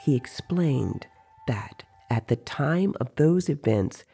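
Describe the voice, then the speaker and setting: Slow, creaky-voiced male story narrator. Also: mid-range voice, expressive, dark tone, soft background music. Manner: measured, serious.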